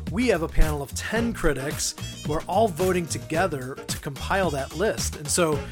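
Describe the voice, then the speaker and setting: A man hosting a music interview show, his voice a little weak as he recovers from the flu, speaking in a relaxed way with many ums. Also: fairly high pitch. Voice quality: slightly weak voice. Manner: relaxed, with frequent ums and uhs. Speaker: podcast host. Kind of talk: scripted show intro and interview questions.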